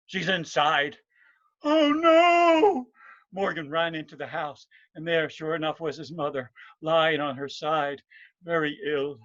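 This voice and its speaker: A man telling a folktale as a storyteller, deliberate, with dramatic pauses. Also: a little high, flat delivery, a bit bright, muffled recording.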